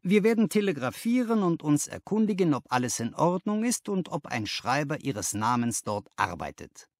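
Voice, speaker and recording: Animated male audiobook narrator. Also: fairly high pitch, moderate pace, no echo, clean studio sound. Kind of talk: expressive literary audiobook narration.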